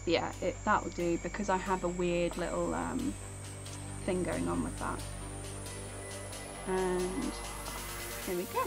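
A woman's voice: on the low side, level tone, slow, vocal fry, long pauses. A woman chatting casually on a live game stream, rambling as she plays and thanking viewers by name. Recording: game music playing underneath.

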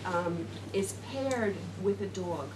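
A woman's voice: on the low side, lively intonation, slow pace, far from the mic. A middle-aged woman talking informally to a seated group in a classroom, rambling with many fillers and restarts. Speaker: speaker addressing a group. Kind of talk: informal talk to a seated audience. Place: classroom, indoors. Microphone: far camera. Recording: roomy classroom sound from afar with noise.

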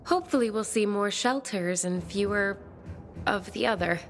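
A woman's voice: medium pitch, moderate pace, faint music behind, natural voice. An actress playing a bookish, romantic and courteous woman survivor in a video game, speaking formally and thoughtfully. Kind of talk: video game cutscene dialogue.

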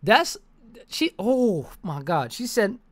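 A young American man tells a story as a streamer, his pitch jumping all over the place. He talks in loose, profane slang and hammers phrases by repeating them, sounding exasperated. The voice is close on a desk microphone. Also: very animated, takes it slowly, fairly bright tone. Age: young adult. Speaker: streamer telling a story to live chat. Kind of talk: casual animated storytime. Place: bedroom or basement room, indoors. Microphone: desk microphone close to the mouth, with headset.

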